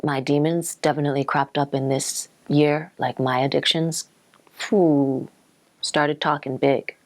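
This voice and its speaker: A woman's voice: sits low, fairly even intonation, measured pace, a little mellow, no echo. A woman speaking candidly in a personal interview, blunt and plain-spoken.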